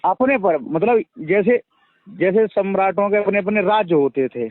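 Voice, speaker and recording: A man with a somewhat high voice talks over a thin, dry telephone line. His accent is from western Uttar Pradesh, and he argues defensively and assertively, restating his position as he goes. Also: measured pace, no room sound. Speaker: religious storyteller. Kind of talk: argumentative phone conversation. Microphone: phone line. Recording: tinny phone call recording.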